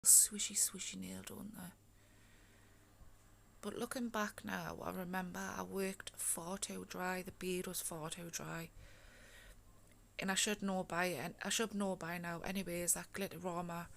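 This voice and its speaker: Husky woman with a fading voice. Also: on the low side, little pitch movement, measured pace, fairly bright tone. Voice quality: gravelly, often slips into whisper. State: losing her voice, with a cold. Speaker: nail tutorial vlogger. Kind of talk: casual voice-over tutorial. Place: home.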